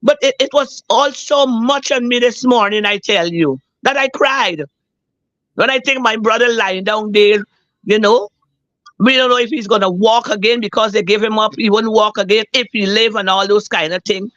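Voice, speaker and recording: A hoarse woman, calling a talk show and earnestly telling a personal story, repeating herself for emphasis. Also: a little high, moderate pace, a bit bright, dry recording, dull audio. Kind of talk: emotional personal account.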